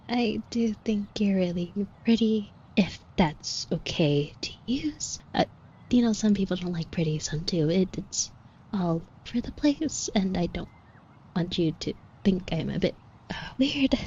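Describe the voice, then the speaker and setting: A woman playing a shy, flustered florist with a crush in an audio roleplay, speaking softly and breathily in a hesitant, apologetic way. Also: mid-range voice, breathy edge, lo-fi sound, exaggerated voice. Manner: hesitant, stammering, rambling, apologetic. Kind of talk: intimate roleplay audio acting.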